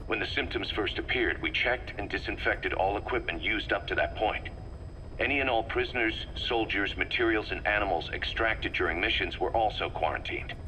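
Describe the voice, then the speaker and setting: A man's voice: deep voice, bright, forceful tone. A man playing a tough, pragmatic military commander in a video game, speaking in a terse, firm, businesslike way. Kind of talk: dramatic video game voice acting. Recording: faintly noisy field tape.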